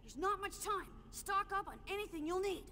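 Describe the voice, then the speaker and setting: Bossy sci-fi game youngster, extremely high pitch, lively swooping delivery, excitable, reverberant, faint noise. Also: female voice, moderate pace, heavily acted. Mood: eager, urgent. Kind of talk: English video game dub acting.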